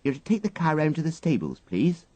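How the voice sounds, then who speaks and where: A man's voice: a little high, huge pitch swings, a little fast, dark tone, no echo, voice pushed up. A man voicing a polite, matter-of-fact parlour-maid in a storybook. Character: polite, brisk young English parlour-maid.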